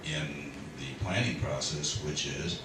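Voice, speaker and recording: A man's voice: rumbling low, takes it slowly. A man, a planning consultant, explaining figures at a public meeting in a loose, explanatory way with fillers. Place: community hall. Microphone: distant room mic. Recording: echoey hall from far off, noisy.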